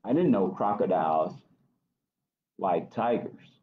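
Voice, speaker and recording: A middle-aged American man reading a picture book aloud to children, expressively, pausing to ask questions. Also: sits low, lively intonation, phone-quality sound. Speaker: library storytime reader. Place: small office, indoors.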